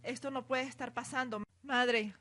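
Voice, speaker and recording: A woman's voice: high-pitched, fairly even intonation, measured pace, a bit bright. A woman playing a distraught, pleading woman falsely accused, in a radio drama. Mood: desperate. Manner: anguished, pleading.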